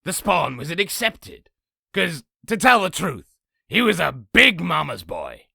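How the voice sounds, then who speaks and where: A man voicing a boastful raspy demon, telling an exaggerated battle story theatrically for a comic dub. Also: fairly high pitch, wildly swooping pitch, unhurried, sharp tone, dry recording, clean studio sound, heavily acted. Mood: boastful, grandiose. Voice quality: raspy, gravelly. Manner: theatrical, self-aggrandizing. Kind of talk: comic dub character narration.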